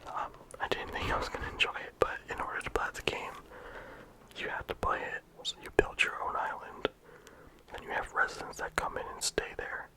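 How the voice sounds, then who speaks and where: A game reviewer talking casually in a soft ASMR whisper, with frequent "like" and "um" fillers. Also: a little fast, rough recording. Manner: soft unvoiced whisper throughout.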